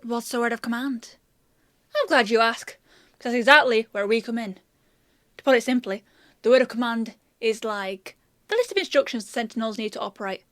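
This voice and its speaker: Woman playing a high-voiced, chatty, teasing apothecary. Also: a little fast, a bit bright, dry recording, studio quality, lightly acted. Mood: explanatory. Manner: chatty, teasing, explanatory, addresses listener fondly. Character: chatty, confident, teasing young-hearted country apothecary woman, protective mentor. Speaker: voice actor in a fantasy audio roleplay. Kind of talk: one-sided roleplay acting addressed to the listener.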